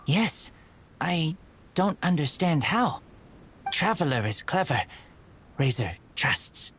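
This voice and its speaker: A woman voicing an earnest wild boy in a video game, speaking in halting, terse broken sentences. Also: low voice, big pitch swings, a little hiss or hum, full character voice. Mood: halting, trusting. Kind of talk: scripted video game voice acting.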